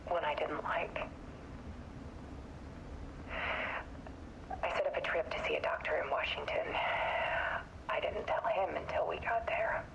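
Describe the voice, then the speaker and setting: Gravelly, haunted woman. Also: on the low side. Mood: regretful. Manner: halting, subdued, somber. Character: haunted woman photographer recounting her troubled relationship, weary and bitter. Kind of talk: confessional dramatic game monologue. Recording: dull lo-fi sound with clear noise.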